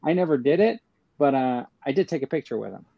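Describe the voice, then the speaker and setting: A man telling anecdotes in casual conversation, hesitant and halting, on a slightly dull lo-fi recording. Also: mid-range voice, animated, gravelly, dry recording. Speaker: panelist on a fan livestream.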